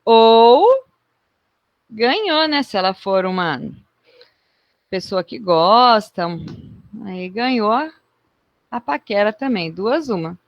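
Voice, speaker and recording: A woman's voice: medium pitch, no echo, highs cut off. A woman teaching a math lesson in a chatty way, often checking in with her students.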